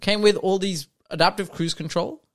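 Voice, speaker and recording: A man's voice: high voice, steady tone, normal speed. A man co-hosting a casual car podcast, talking with enthusiasm, often jumping in and laughing. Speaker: podcast co-host. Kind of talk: casual chat.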